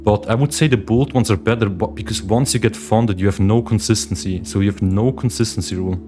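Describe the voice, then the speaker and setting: Low-voiced swiss guy streaming live, calls everyone guys, calm music going behind him. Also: brisk. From Switzerland. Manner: chatty, addresses viewers as guys, reads chat aloud. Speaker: livestream host, day trader. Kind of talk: casual livestream chat. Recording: meditation music playing behind the voice.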